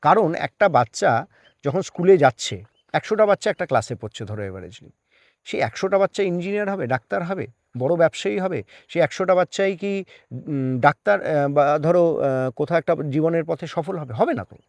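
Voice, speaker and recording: A man's voice: a little high, lively intonation, a little fast, no echo. A man speaking earnestly as an interview guest, explaining his opinions emphatically with rhetorical questions.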